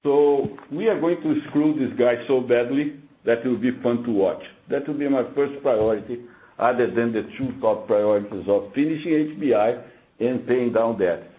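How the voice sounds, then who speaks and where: A man's voice: medium pitch, normal speed. A man, a chief executive, delivering a blunt, combative rant over a conference call. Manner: blunt, confrontational. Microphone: conference call phone line. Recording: phone-like narrow band conference line.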